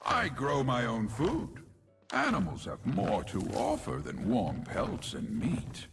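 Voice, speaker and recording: A man voicing a gentle, cheerful tree-like nature spirit in a video game, with a playful, dreamy, singsong delivery. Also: mid-range voice, huge pitch swings, takes it slowly, creaky, music in the background, exaggerated voice. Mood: dreamy, content. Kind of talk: video game character voice lines.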